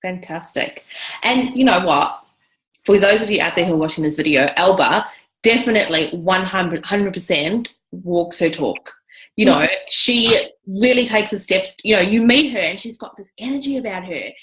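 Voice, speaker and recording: A woman hosts a casual video interview, enthusiastic and chatty, peppering her talk with "you know". Her voice sits at an ordinary middle pitch. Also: normal speed, fairly bright tone, rough recording. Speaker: interview host.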